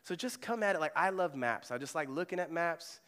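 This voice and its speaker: A man's voice: a little high, lively intonation, fairly quick, pushed and bright, clean tone, long reverb tail. A male pastor preaching in a conversational way, often asking the congregation questions. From Germany. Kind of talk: sermon. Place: church sanctuary.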